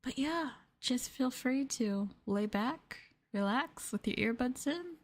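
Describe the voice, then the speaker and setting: A woman streamer talking softly and gently in an ASMR live chat, often dropping into a whisper, close to the microphone. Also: high voice, expressive, slow. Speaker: VTuber streamer. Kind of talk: soft-spoken ASMR livestream chat.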